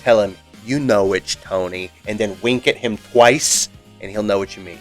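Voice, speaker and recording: A man's voice: lowish voice, normal speed, soft background music, natural voice. A game master voicing a brash, bossy middle-aged male gang boss who talks like a wheeler-dealer. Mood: conspiratorial.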